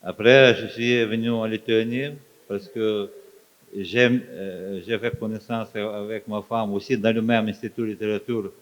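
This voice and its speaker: A man from Ukraine, a native Ukrainian speaker with an Eastern European accent, answering as a guest author on stage in halting, fragmented phrases. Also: fairly low pitch, steady tone. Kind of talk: onstage interview answers. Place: live festival stage. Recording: dull, muffled live recording.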